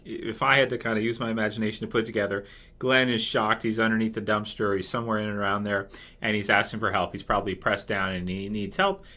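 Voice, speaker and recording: Bright-voiced man giving casual fan commentary. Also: medium pitch. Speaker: video host.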